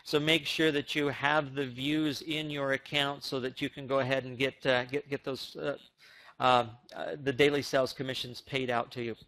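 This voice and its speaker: A man hosting an informal business update for members on a conference call, speaking haltingly with repeated words and stammered restarts. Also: mid-range voice, little pitch movement, a bit bright, low-quality recording.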